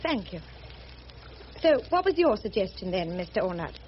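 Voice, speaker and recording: A woman's voice: medium pitch, big pitch swings, normal speed, lightly acted. An actress playing a prim, determined English missionary in her thirties, with Received Pronunciation and a formal, earnest manner, in a radio drama. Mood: firm, purposeful. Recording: muffled, noisy old radio drama recording.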